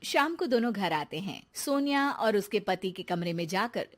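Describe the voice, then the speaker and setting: A woman's voice: mid-range voice, animated, normal speed. A woman narrator telling a scripted cartoon story.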